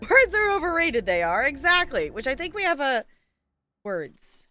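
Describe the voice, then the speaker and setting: A woman's voice: sits high, big pitch swings, moderate pace, bright, forceful tone. A woman chatting casually on a gaming livestream, playful and self-deprecating, with theatrical exclamations. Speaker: live streamer.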